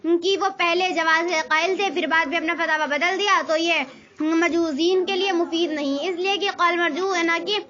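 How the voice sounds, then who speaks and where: Woman w really high bright voice reading out formal religious text, kinda dull lofi recording. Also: steady tone, normal speed. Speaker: reader of religious rulings. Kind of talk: read-aloud religious text, formal Urdu.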